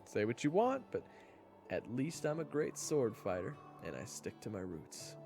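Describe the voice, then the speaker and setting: A woman's voice: extremely low pitch, very animated, normal speed, over music, exaggerated voice. A woman playing a cocky, hot-headed and insecure young swordsman in a fantasy audio drama, with a brash, indignant delivery. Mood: boastful. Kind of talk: fantasy audio roleplay acting.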